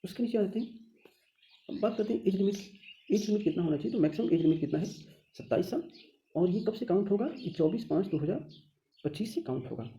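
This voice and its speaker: A man's voice: high-pitched, animated, fast, pauses often, warm tone, lo-fi sound. A man with an Indian accent teaching informally in an explainer style, posing questions and answering them himself with frequent check phrases. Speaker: online teacher explaining a job exam notification.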